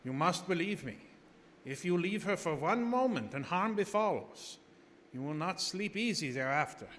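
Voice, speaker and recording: An actor playing an ominous, solemn professor with portentous, emphatic phrasing in a live radio horror drama. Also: male voice, sits high, expressive, normal speed. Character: ominous, solemn professor, male vampire expert. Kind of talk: old-time radio horror drama acting. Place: live broadcast from a theater. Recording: reverberant theater stage, clear background noise.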